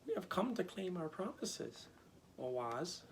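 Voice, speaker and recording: Man putting on a high-pitched, insistent little girl. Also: very animated, a little slow, creaky, slight background noise, exaggerated voice. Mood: earnest. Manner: exclaiming, insistent. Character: earnest, indignant young girl from a storybook.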